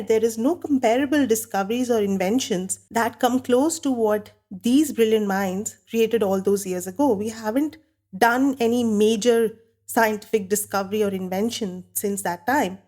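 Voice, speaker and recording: A woman hosting a podcast while she has a bad cold, sounding nasal and croaky, talking earnestly and candidly on her own. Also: medium pitch, a little mellow. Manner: earnest, candid, talks with a light laugh now and then. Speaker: podcast host. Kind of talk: solo podcast monologue.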